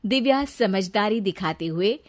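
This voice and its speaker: A woman's voice: mid-range voice, expressive, a little slow. A woman narrating a scripted moral story as its storyteller.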